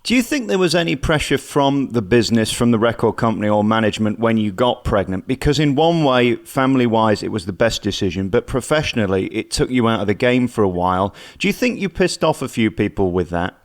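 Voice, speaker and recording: Man interviewing, medium pitch. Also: expressive, fairly quick, hardly any pauses. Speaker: radio interviewer. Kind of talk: conversational celebrity interview.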